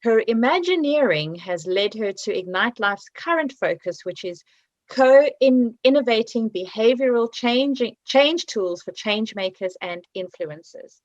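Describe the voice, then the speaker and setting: A woman's voice: on the high side, expressive, measured pace, dry recording. Upbeat South African woman host, dull dry audio. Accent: South African, slightly British. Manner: upbeat, introducing speakers. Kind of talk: hosting online talk series.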